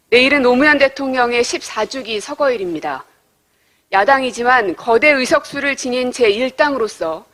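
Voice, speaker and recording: Woman politician reading out a formal speech, voice a bit high, measured, soft music under it.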